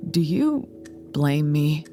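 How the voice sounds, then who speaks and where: Female actor, very deep voice, guilt-ridden survivor, subdued, audio drama, music behind. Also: big pitch swings, slow pace, dark tone, exaggerated voice. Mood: guilty, subdued. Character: guilt-ridden, weary survivor trapped at a site. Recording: music under the dialogue.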